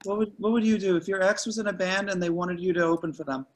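A man's voice: high voice, fairly even intonation, quick pace, a little hiss or hum, natural voice. A man playing an awkward, nervous young slacker musician, hesitant and repeating himself, in an amateur script read. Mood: tentative. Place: home, group video call.

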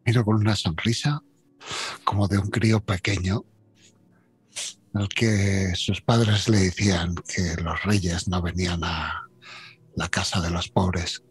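A man playing a tabletop roleplaying game, speaking in character with excited, childlike wonder. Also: low voice, takes it slowly, pauses often. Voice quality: husky. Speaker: player. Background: faint music.

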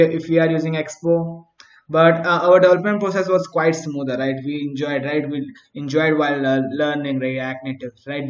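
A man teaching a coding tutorial over his screen, talking through each step and often asking "right?" as he thinks aloud. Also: fairly high pitch. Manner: frequent restarts and fillers while thinking aloud. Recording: echoey, dull home recording.

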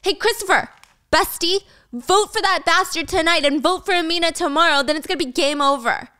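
A woman's voice: very high voice, a little fast, pushed and bright, pitched above the performer's own voice. A woman voicing a hot-headed young man who accuses and defends himself with heated, insistent indignation in a dramatic story.

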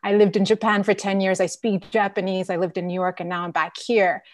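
A woman's voice: mid-range voice, flat delivery, brisk, no room sound. A middle-aged woman who grew up in Canada, speaking as a curator on a video call in an articulate, reflective way. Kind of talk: panel discussion on video call. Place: room at home. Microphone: video call with earphones.

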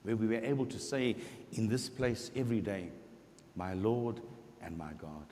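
Middle-aged parish priest, expressive sermon delivery, long church reverb. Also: male voice, on the low side, a little hiss or hum. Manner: expressive preaching, voicing scripture quotes, slow emphatic pauses. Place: large church sanctuary before the altar.

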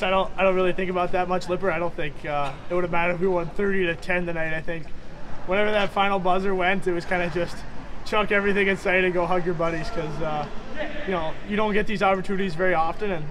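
A young man, a hockey goaltender, answering postgame press questions in a quick, upbeat way, full of "you know" fillers. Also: high voice, steady tone, fairly bright tone, slight background noise. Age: young adult. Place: arena interview backdrop, indoors.

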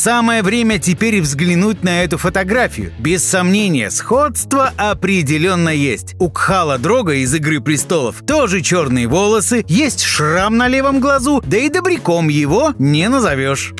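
A man's voice: high voice, very animated, normal speed, few pauses, fairly bright tone, faint music behind. A man reading scripted narration for an entertainment video, chatty and teasing, addressing the listener directly.

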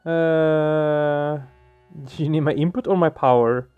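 A man giving casual let's play commentary, halting as he searches for words. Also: fairly high pitch, fairly even intonation, clean tone. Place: small room at home, indoors. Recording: dull muffled sound, music behind. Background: game music.

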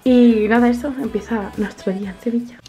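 A woman's voice: on the high side, steady tone, brisk, highs cut off, over loud music. Chatty young woman vlogging from a hotel. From Spain. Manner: chatty and enthusiastic, full of fillers and little diminutives. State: stuffy from allergies. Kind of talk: casual vlog chat to camera. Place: hotel room and outdoors in a city. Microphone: handheld camera at arm's length.

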